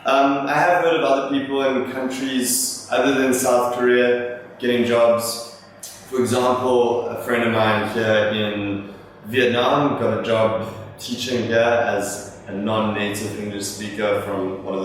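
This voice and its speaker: A man's voice: fairly low pitch, little pitch movement, measured pace. Easygoing young man, echoey far-off sound. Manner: rambling, with fillers and restarts. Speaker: vlog host answering viewer questions. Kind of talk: casual talk to camera. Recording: echoey room from far off, faint noise.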